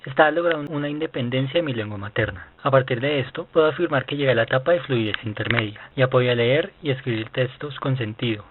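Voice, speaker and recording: A man reading a first-person account aloud for a student project, brisk and straight through. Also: mid-range voice. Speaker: student presenter. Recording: tinny phone-like recording with faint hiss.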